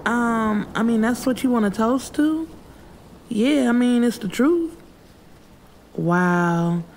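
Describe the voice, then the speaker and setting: A woman's voice: a little high, expressive, takes it slowly, a little mellow, slight background noise, pitched above the performer's own voice, low-quality recording. A woman voicing a guarded, sassy young woman who talks back hesitantly in an urban fiction audiobook. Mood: skeptical, sassy. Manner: hesitant, skeptical, talking back.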